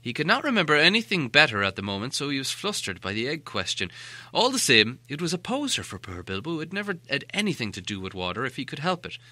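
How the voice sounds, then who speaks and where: Guy narrating a storybook, really expressive, sharp bright voice, no room echo. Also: mid-range voice, fast. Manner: expressive, animated. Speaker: audiobook narrator.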